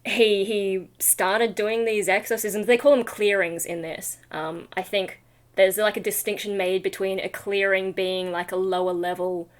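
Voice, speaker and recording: A woman's voice: mid-range voice, fairly even intonation, unhurried. Female vlogger, mid-range voice, sleepy and informal, wandering off track with self-mocking asides. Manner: scattered, self-deprecating, informal. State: tired, not fully awake. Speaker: vlogger and metal vocalist. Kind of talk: casual rambling vlog chat.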